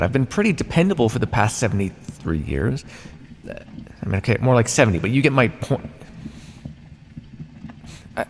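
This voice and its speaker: A man acts out a sardonic vampire captor in an audio roleplay. His voice has a creaky, gravelly edge and moves animatedly up and down. It rings in a long reverberant space with faint noise behind it. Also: mid-range voice. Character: teasing, menacing male vampire captor, centuries old scientist. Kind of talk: ASMR roleplay acting.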